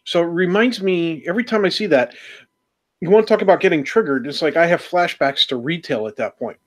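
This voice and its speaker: Podcast guy going off on a rant, kinda high voice, sarcastic. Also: fairly quick. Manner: ranting, sarcastic jokes. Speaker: podcast co-host. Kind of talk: casual round-table chat.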